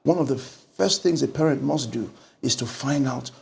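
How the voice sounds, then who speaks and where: A middle-aged Nigerian man, a pastor talking through a book in an animated conversational way, recorded on a lapel mic in an indoor studio. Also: medium pitch, normal speed, creaky. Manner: animated storytelling with lively gestures. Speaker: pastor and guest.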